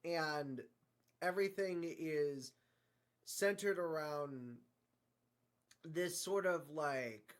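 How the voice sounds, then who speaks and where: A man tells a story at a slow pace in an ordinary mid-range voice. His delivery is casual and improvised, halting as he feels around for the next word. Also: medium pitch, fairly bright tone. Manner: halting, searching for words.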